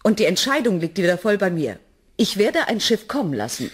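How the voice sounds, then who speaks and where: An actress playing a firm, responsible expedition leader in a 1980s German radio drama, decisive and formal. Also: female voice, mid-range voice, big pitch swings, brisk, breathy edge.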